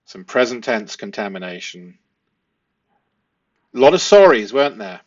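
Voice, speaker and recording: A man giving analytical commentary to viewers as a host, speaking emphatically and deliberately, often with rhetorical tag questions. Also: medium pitch, very animated, a little mellow, dull audio. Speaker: host analysing a police interview.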